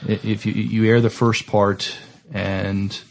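Man, podcast co-host, low gravelly voice, stammering and tentative delivery, casual discussion. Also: level tone, slow pace. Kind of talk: casual discussion, reading out entertainment news.

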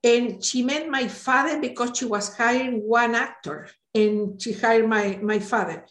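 A woman's voice: fairly high pitch, measured pace, fairly bright tone, roomy sound. A woman in her late sixties from Chile, a native Spanish speaker with a Chilean accent, reminiscing as an interview guest. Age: late 60s. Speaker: interview guest, retired NGO director.

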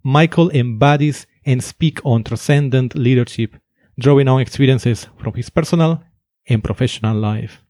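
A man with a Finnish accent hosting an interview, hesitant and quick to laugh. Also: medium pitch, darkish tone, dry recording, clean studio sound. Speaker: podcast host.

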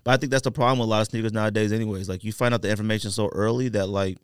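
Clear-voiced American man chatting casually. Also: on the low side, steady tone, racing, no echo, studio quality. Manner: slangy, opinionated. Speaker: sneaker podcast host. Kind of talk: casual podcast chat.

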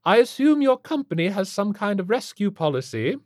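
A man voicing a haughty, demanding rich matron in an audiobook story, loud, imperious and formal. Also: high voice, very animated, a little fast, dry recording, clean studio sound, pitched above the performer's own voice. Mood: prim alarm.

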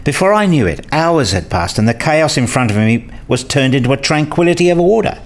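Man acting weary family man, lively intonation. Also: medium pitch, brisk. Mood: wry, hesitant. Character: weary, put-upon married academic father, wryly self-mocking. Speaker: narrator and lead actor in a radio play. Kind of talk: first-person narration and acted dialogue.

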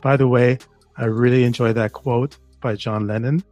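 A man's voice: mid-range voice, steady tone, normal speed, a little mellow, dry recording, faint music behind. A middle-aged man from Jamaica, a guest author, telling stories enthusiastically in a casual interview. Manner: enthusiastic storyteller, some restarts, laughs.

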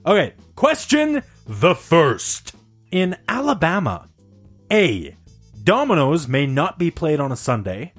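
A man with a fairly high voice that swings widely up and down as he hosts a loose quiz among friends. He laughs often and keeps the banter playful and animated. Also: slow, breathy edge, faint music behind. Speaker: quiz host. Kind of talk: casual podcast banter.

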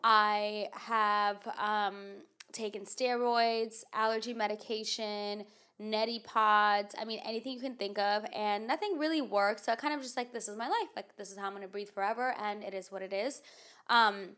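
Woman, nasal, chatty podcast host, lots of fillers, mid-pitched voice. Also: steady tone, moderate pace, bright, forceful tone, smooth. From United States. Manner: chatty, filler-heavy, rambling. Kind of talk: casual chat.

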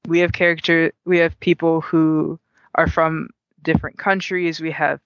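Male interview guest, earnest, gravelly and fairly high-pitched. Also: little pitch movement, normal speed, fairly bright tone, no room sound, highs cut off. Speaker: guest, gaming community organizer. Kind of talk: interview conversation.

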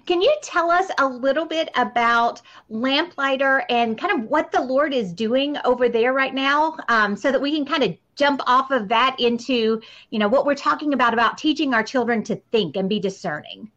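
A woman's voice: sits high, moderate pace, few pauses, fairly bright tone, muffled recording. A woman speaking as a podcast host, introducing the show and interviewing a guest.

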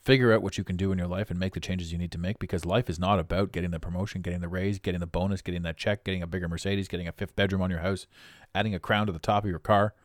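Podcast host, raspy middle-aged man, deep and blunt, dead-dry sound. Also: fairly even intonation, racing, dry recording. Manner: blunt, preachy, emphatic. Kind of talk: motivational monologue.

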